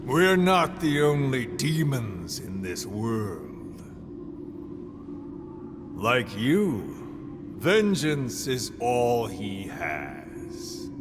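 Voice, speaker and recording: An actor playing a vengeful villain mastermind in a video game cutscene, delivering theatrical, ominous monologues. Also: male voice, medium pitch, sing-song, very slow, background noise, heavily acted. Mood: brooding, ominous. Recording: loud music under the voice.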